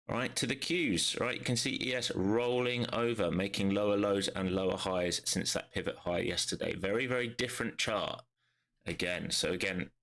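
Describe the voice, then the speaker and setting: A man with a low voice talks through the charts in a brisk stream of live commentary, thinking aloud as he goes. His tone is bright and forceful, but a head cold leaves him nasal and bunged up. He speaks close to a desk microphone. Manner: brisk, thinking aloud, frequent "all right?" tags. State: congested with a cold. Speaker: day trader streaming live market analysis.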